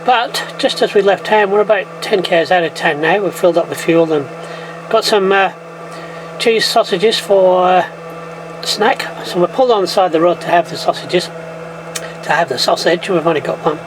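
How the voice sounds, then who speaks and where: A man's voice: high-pitched, normal speed. A man chatting hesitantly to camera from a parked minibus by the roadside, with many fillers. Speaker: vlog host. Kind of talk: casual travel vlog talk to camera. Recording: noisy roadside vehicle recording. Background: humming battery charger.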